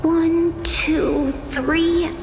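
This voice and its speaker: An actress voicing a wistful, hesitant small child in a video game, forlorn and waiting. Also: female voice, very high voice, steady tone, exaggerated voice. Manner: hesitant, counting slowly. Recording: long echoing reverb, loud music.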